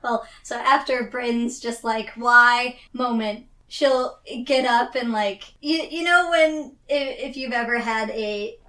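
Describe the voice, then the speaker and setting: A woman's voice: on the high side, takes it slowly, few pauses, sharp tone. A woman casually describing her character's actions as a player in a tabletop game. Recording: echoey room, slightly distant mic.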